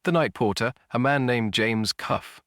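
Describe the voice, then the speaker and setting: A man voicing a grim, brisk police inspector in an audio story, businesslike and matter-of-fact. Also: medium pitch, a bit bright, no echo, clean studio sound, voice pushed up. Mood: grim, puzzled.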